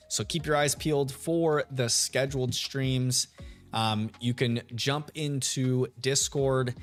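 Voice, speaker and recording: A man hosting a live game stream, chatting casually with viewers and greeting them by name. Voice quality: deep radio-style voice. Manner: laid-back, chatty, greets chat members by name. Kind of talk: live stream chat while shiny hunting. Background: soft lo-fi music.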